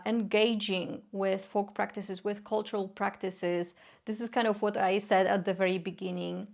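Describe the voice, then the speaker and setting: Polish-accented young woman, earnest, halting fillers, mid-range voice, casual explainer. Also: mid-range voice. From Poland. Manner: earnest, frequent filler sounds. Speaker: folk magic educator. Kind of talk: casual explanatory talk to camera.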